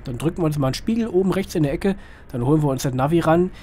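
A slightly hoarse man gives casual, rambling, colloquial commentary on a driving game, often asking himself little questions. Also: a little high, rapid-fire, mellow. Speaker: gaming video host. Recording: clear vehicle engine noise behind voice. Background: truck simulator engine sound.

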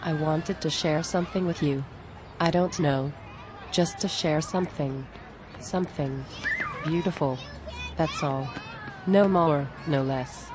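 A woman's voice: low voice, takes it slowly, pauses often, a crowd in the background, background noise. A woman playing a down-to-earth, exasperated friend in a scripted cartoon dialogue, plain-spoken and conversational. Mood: resigned.

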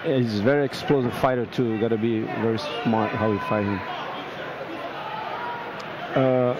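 A man commentating a grappling match as guest, explaining moves calmly with frequent fillers. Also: mid-range voice, lo-fi sound. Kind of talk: live fight commentary. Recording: noisy arena with crowd.

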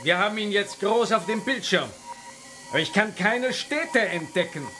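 Male scientist professor, sci-fi radio play. Slightly high voice, grave, deliberate, explanatory, light music underneath. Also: animated. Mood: matter-of-fact. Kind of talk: children's sci-fi radio drama acting.

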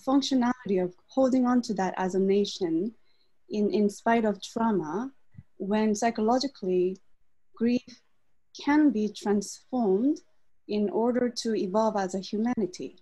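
A woman asking a question at an online talk, speaking deliberately, phrase by phrase. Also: a little high, warm tone, rough recording. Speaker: audience member asking a question. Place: on a video call.

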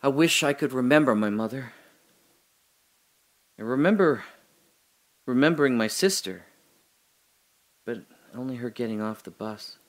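An actor playing a confused amnesiac man trapped alone in a hole, rambling and swinging between giddy laughter and hushed muttering. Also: mid-range voice, a little slow, pauses often, lightly acted. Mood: hushed worry. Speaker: audio drama lead actor. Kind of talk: solo audio drama monologue.

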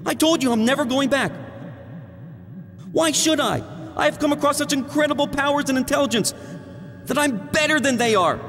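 An actor playing an arrogant, bitter monkey villain in a video game cutscene, with a haughty, theatrical, sneering delivery. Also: male voice, very high-pitched, normal speed, pauses often, a bit bright. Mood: indignant, boastful. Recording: long reverb over game music.

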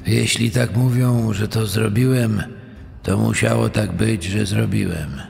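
A man's voice: on the low side, steady tone, a little slow, vocal fry, darkish tone, soft background music. An actor playing a sullen, resigned accused killer in his fifties in a true-crime audio story. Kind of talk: dramatised true-crime quote. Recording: echoey hall.

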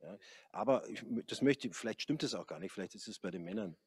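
Low, husky man talking about yoga. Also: expressive, fast, a little mellow, no room sound. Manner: hesitant, many fillers. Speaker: yoga teacher. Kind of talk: panel conversation. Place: large café hall, indoors. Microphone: handheld mic.

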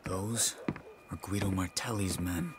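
An actor playing a calm, serious outlaw companion in a Western video game, speaking in measured, terse phrases. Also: male voice, low-pitched, background noise. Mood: wary. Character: calm, grave male outlaw companion in the Old West.

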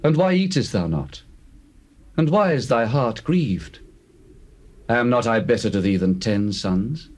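Casting: concerned, loving husband, scriptural audio drama, mid-pitched, highly expressive intonation, formal. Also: male voice, measured pace, pauses often. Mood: tender concern. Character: tender, concerned husband Elkanah. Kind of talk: dramatized King James Bible reading.

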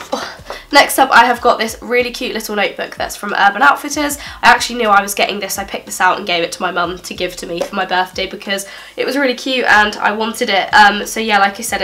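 A woman's voice: mid-range voice, bright, forceful tone. A twenty-one-year-old woman with a blocked nose from a cold, chatting upbeat through a birthday haul in a small living room. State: congested from a cold. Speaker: beauty vlogger. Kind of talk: casual haul vlog.

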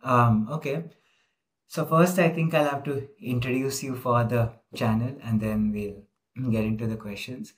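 A man's voice: medium pitch, steady tone, unhurried. A man hosting an informal interview over a video call, talking casually with frequent fillers. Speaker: interviewer, host.

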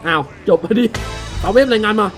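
A man's voice: sits high, moderate pace, faint music behind. A man doing casual video game commentary, chatting to viewers with frequent exclamations and crude slang. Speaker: gaming video host. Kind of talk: casual game commentary with loosely dubbed dialogue.

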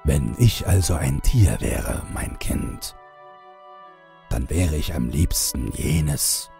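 A man playing a bearded father who speaks slowly and tenderly to his little daughter, hushed and breathy, in a horror audiobook. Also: very deep voice, expressive, soft background music, pitched below the performer's own voice, exaggerated voice. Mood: tender, solemn.

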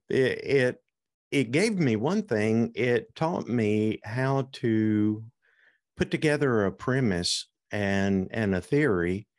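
Male host, low and very slow, deliberate interviewer on a studio mic. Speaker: podcast host. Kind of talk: interview questions. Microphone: studio mic with headset.

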